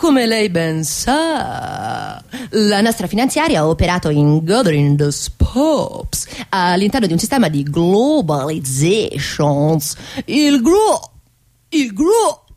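A woman's voice: fairly low pitch, very animated, slow pace, a little mellow, exaggerated voice. A woman playing a smug, evasive male company executive in a satirical radio play, answering dismissively. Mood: pompous, stumbling. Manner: dismissive, hesitant openings, mangled corporate English.

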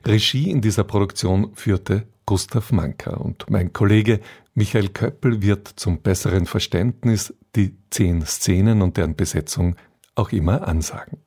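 A man's voice: on the low side, unhurried, darkish tone, breathy edge. A man presenting on radio, reading a scripted introduction to a radio play. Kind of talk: scripted narration.

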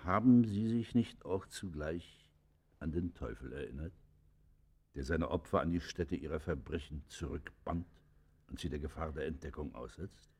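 An actor playing a stern, moralizing magistrate who questions a criminal in a grave, formal, admonishing manner for a radio play. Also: male voice, rumbling low, moderate pace, a little mellow, breathy edge. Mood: grave questioning. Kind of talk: German radio play acting.

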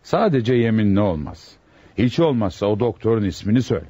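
An actor playing a stern, fatherly presiding judge in a radio drama, speaking formally, deliberately and with authority. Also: on the low side, sing-song, dark tone, husky, dry recording. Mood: stern, admonishing. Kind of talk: Turkish radio theatre acting. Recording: muffled, dry lo-fi radio recording.

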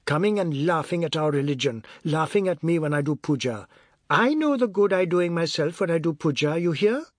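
A man voicing a petulant, bloodthirsty, pampered boy who shouts and taunts in creole grammar, in an audiobook story. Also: on the high side, a little fast, no room sound, studio quality, close to an everyday voice. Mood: indignant taunting.

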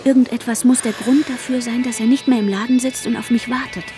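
An actress playing an anxious wife searching for her missing husband in a radio drama, urgent and breathy, often slipping toward a whisper. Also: fairly high pitch, fairly even intonation, a little fast, natural voice. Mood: tense, inward. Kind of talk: radio drama acting with intimate inner monologue. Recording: guitar music behind the voice. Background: guitar music.

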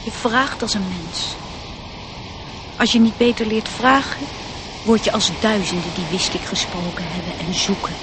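An actress playing a wise, protective elf, earnest and gently admonishing, in a radio play. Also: female voice, medium pitch, normal speed, natural voice. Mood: sad, reproachful. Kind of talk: radio drama acting. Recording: noisy radio drama recording.

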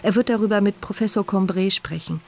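A woman's voice: medium pitch, a little fast, a little mellow, faint music behind, lightly acted. An actress playing a paralysed, mute woman who narrates her sardonic, bitter thoughts in a radio play. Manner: sardonic, biting inner voice. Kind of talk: radio play inner monologue.

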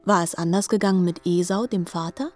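A woman's voice: on the low side, brisk, soft background music, lightly acted. A woman narrating a literary radio drama in formal, articulate prose delivery.

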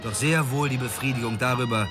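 An actor playing an earnest police officer giving a statement in a radio drama. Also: male voice, medium pitch, fairly quick, music in the background, lightly acted. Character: earnest male police officer quoted in newspaper article.

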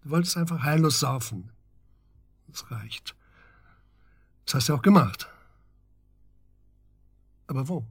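Radio drama stern, methodical male investigator: husky, clipped, insistent, very dry studio sound. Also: mid-range voice, expressive, takes it slowly, long pauses, no echo, full character voice. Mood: firm, coaching. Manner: matter-of-fact, insistent, clipped questioning. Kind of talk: naturalistic German radio drama acting.

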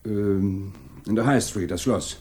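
A man's voice: on the low side, takes it slowly, mellow. An actor playing a worn-down, guarded father under suspicion in a radio crime drama, speaking tersely and hesitantly. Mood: hesitant, evasive. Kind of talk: 1980s German radio crime drama acting.

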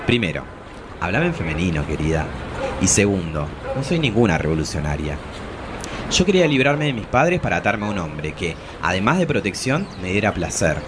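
A man's voice: sits low, sing-song, normal speed, noisy background. Figure: man as theatrical, bitter travesti. Range: sweeping. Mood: haughty defiance. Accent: Argentine. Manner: solemn, theatrical, imperious. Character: bitter, theatrical travesti in her forties, fallen diva. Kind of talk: dramatic monologue.

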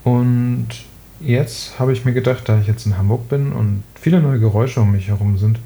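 A man's voice: mid-range voice, steady tone, moderate pace, vocal fry, mellow. A German man hosting a casual solo podcast from his room, speaking informally and hesitantly as he thinks aloud. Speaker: podcast host. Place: his own room at home. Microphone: his usual podcast microphone.

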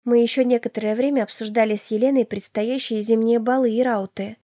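High-voiced woman narrating an audiobook, dry sound. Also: fairly even intonation, brisk, warm tone, dry recording. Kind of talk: scripted fiction narration in first person.

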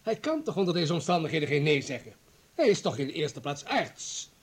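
Husky, pressing party comrade. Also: male voice, fairly high pitch, big pitch swings, a little fast. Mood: calculating argument. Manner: urgent, persuasive. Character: pragmatic, pressing male party comrade, calculating. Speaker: actor in a radio play. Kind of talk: Dutch radio drama acting.

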